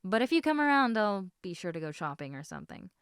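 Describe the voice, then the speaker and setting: A woman voicing an affectionate, teasing half-snake lamia in a casual, intimate audio roleplay, chatting informally with halting, trailing thoughts. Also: medium pitch, sing-song, fairly bright tone, clear, resonant tone, no echo, studio quality. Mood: casual, caring.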